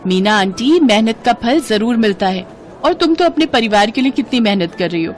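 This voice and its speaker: A woman's voice: a little high, animated, moderate pace, slight background noise, close to an everyday voice. A woman voicing a kind, helpful young female customer in a moral story, eager to help. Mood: cheerful, encouraging. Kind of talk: storybook character acting.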